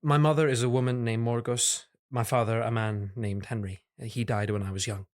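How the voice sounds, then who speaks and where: An actor plays a young man in an audio drama, earnest yet guarded. His voice is medium in pitch. He speaks with polite deference and a hesitant edge. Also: fairly even intonation, moderate pace, close to an everyday voice. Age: young adult.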